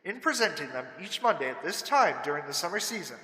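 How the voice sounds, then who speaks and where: Man as bright, high-voiced 1930s radio announcer. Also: very animated, fast talker, roomy sound, in a large hall, low-quality recording. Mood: formal, proud. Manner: formal, declamatory. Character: formal, brisk 1930s network radio announcer.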